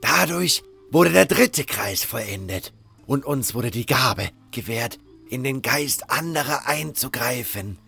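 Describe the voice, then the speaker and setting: A man playing a solemn cult guru preaching his faith in a fantasy audio drama, with a gravelly, husky voice and measured delivery. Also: medium pitch, pushed and bright, heavily acted.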